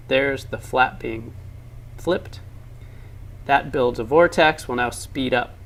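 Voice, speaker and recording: A man's voice: mid-range voice, vocal fry, a bit bright. A man narrating laboratory science demonstrations for an educational film, explaining with enthusiasm and emphasis.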